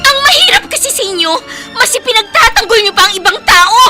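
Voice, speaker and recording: A Filipino actress playing an aggrieved daughter, impassioned and accusing, in a radio drama over music. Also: female voice, very high-pitched, big pitch swings, fairly quick. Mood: anguished reproach. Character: aggrieved, pleading daughter confronting her mother. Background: loud dramatic music.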